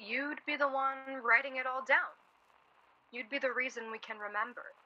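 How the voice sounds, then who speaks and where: An actress playing a sharp-tongued, worried young wife in a 1940s radio play, snippy and sardonic. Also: female voice, high-pitched, steady tone, moderate pace, lo-fi sound, full character voice. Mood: wry.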